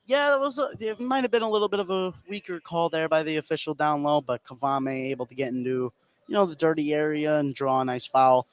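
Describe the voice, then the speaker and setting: A man doing live play-by-play basketball commentary for radio, getting excitable on big plays. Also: a little high, brisk, smooth, no echo. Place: indoor basketball gym. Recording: noisy live gym broadcast. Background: loud game ambience.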